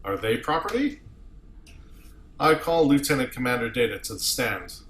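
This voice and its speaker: A man reading the part of a commanding starship captain in a table read, arguing deliberately and rhetorically as a defence advocate. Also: mid-range voice, expressive, fairly bright tone, close to an everyday voice. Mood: measured, probing. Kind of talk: amateur script table read. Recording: roomy echo with clear background noise.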